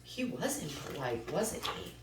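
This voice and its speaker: A woman's voice: low voice, sing-song, a little slow, heavy background noise. Encouraging woman teacher, echoey room. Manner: encouraging, questioning, repeats children's answers. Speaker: teacher reading aloud to children. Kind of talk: interactive children's lesson. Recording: echoey room, distant mic.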